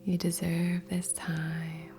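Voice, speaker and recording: Female ASMR Reiki guide: breathy whisper, very level pitch, gentle and unhurried, over clearly audible music. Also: monotone. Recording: soft music under voice. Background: background music.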